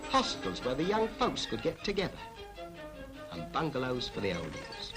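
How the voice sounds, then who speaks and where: An actor playing a cheerful, chatty everyman narrating a 1940s animated public information film in a folksy, colloquial way. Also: medium pitch, moderate pace. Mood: chatty, proud. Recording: old 1940s film soundtrack, music behind.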